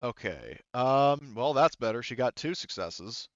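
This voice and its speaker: A man's voice: medium pitch, animated, unhurried, no room sound, lo-fi sound. A man acting as game master, casually thinking aloud as he narrates dice rolls and rulings.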